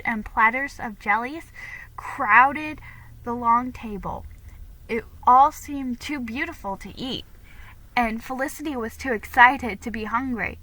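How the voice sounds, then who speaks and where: A woman reads a storybook aloud with dramatic expression. Her voice is very bright and fairly high, and she delivers the narration at a slightly slower pace than most. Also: rough recording. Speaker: amateur reader hosting dramatic readings.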